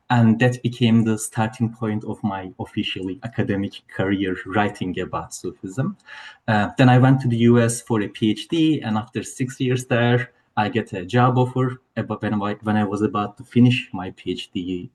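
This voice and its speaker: A man's voice: on the low side, a little mellow. Turkish-accented man on a video call. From Turkey. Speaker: guest scholar. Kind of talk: interview answers.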